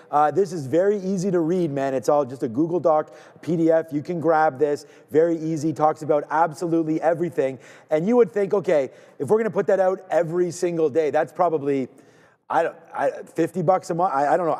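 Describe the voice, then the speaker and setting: A man hosts a live market stream in a mellow, relaxed voice, rambling and salesy with plenty of false starts. His voice carries a long studio reverb behind it. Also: a little high. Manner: loose, salesy, rambling with restarts. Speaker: trading show host. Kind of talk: casual live-stream banter. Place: studio in Toronto.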